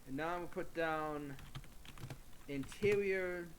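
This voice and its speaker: A man with a slightly high voice thinks aloud as he drafts a script. His delivery is very slow and halting, a casual mutter over a faintly noisy home recording. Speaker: amateur scriptwriter working on camera or mic.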